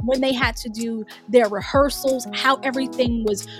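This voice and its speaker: A woman's voice: on the high side, fairly even intonation, normal speed, music in the background. A woman hosting a casual solo podcast, a little nasal from a cold, talking in a chatty, rambling way with restarts. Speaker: podcast host.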